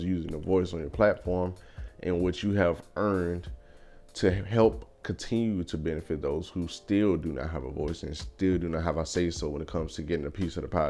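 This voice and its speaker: A young man talking through his opinions in a casual vlog, thoughtful and measured, with frequent fillers. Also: deep voice, mellow. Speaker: vlogger. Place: car.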